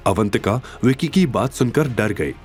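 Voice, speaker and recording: A man narrating a scripted story in a dramatic, expressive and suspenseful manner. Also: lowish voice, measured pace, soft background music.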